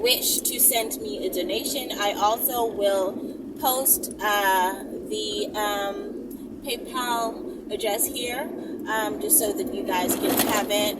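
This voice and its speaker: A woman hosting her own video channel, chatting casually and emphatically to her viewers and often checking in with them. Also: mid-range voice. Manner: animated, emphatic, repeatedly checking in with listeners. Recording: distant mic, loud ambient noise.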